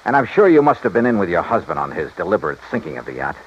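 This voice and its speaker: An actor playing a hard-boiled, sardonic American insurance investigator in a 1950s radio detective drama, with a clipped, pressing delivery. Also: male voice, deep voice, big pitch swings, racing, slightly rough, exaggerated voice. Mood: sardonic, accusing. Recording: muffled, noisy old radio recording.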